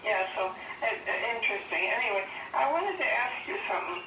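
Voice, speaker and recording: Creaky-voiced older woman on a phone speaker. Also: on the high side, expressive, brisk. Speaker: phone interviewee, former resident of the house. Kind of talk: casual phone chat. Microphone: phone call played over speaker. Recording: distant noisy phone speaker, echoey.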